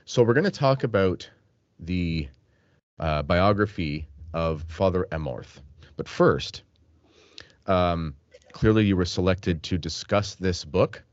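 A man's voice: low voice, animated, slow, no room sound, muffled recording. A man from Canada hosting an interview podcast, chatty and joking, with frequent fillers. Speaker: host.

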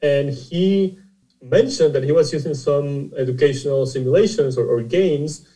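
A man from Costa Rica, a startup CEO, answering interview questions in a halting way, often repeating words as he explains. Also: on the high side, mellow, rough recording. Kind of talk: conversational interview.

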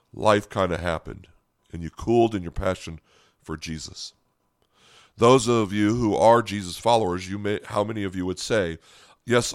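Slightly hoarse, low-voiced American male pastor. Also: no echo, clean studio sound. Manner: earnest, direct questions to listeners. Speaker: pastor and podcast host. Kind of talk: conversational sermon podcast.

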